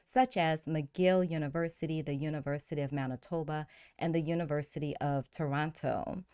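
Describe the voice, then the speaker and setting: A woman hosting a live-streamed podcast, warmly introducing a guest and reading her bio with enthusiasm. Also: fairly low pitch, smooth, no room sound, studio quality. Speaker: podcast host.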